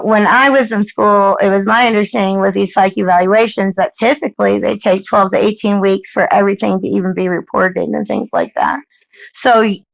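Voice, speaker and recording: An American woman pressing her point on a recorded phone call, insistent and halting, often repeating herself. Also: mid-range voice, gravelly, dry recording. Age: adult. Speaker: parent questioning a caseworker. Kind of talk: argumentative phone conversation.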